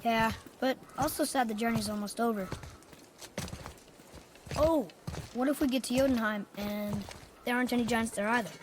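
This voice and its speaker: A man's voice: extremely high pitch, normal speed, pauses often, slight background noise. A young male actor playing a headstrong, cocky boy demigod in a video game, blunt and insistent. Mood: questioning. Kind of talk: dramatic video game acting.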